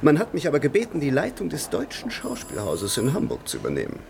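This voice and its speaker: An actor playing a vain theatre director in a radio play, speaking in a declamatory, theatrical way. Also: male voice, fairly high pitch, huge pitch swings, quick pace, gravelly, slight background noise, heavily acted. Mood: grand.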